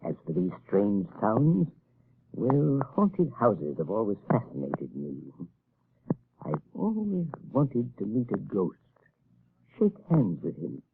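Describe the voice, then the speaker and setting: A sinister, mocking husband in a 1930s radio play, drawling slowly in a low gravelly voice. His pitch swoops wildly as he taunts. The sound is a tinny, hissy old broadcast. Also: huge pitch swings, exaggerated voice. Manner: silky, taunting, theatrical swoops. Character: sinister scheming husband, middle-aged man, mocking. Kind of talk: 1930s radio drama acting.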